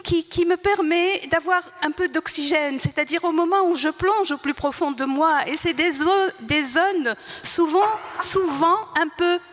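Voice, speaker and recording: Older Tunisian-born woman, high voice, halting. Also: a bit bright. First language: Arabic. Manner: halting, searching for words, with many restarts. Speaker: writer on a panel. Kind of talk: spoken reflection at a panel talk. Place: large hall. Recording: reverberant hall.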